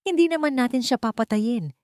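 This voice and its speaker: A woman voicing an ambitious male manipulator who coaxes gently and persuasively in an audio drama. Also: a little high, brisk, no room sound, clean studio sound, pitched above the performer's own voice, lightly acted. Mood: coaxing. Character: scheming, sweet-talking male manipulator, coaxing and ambitious.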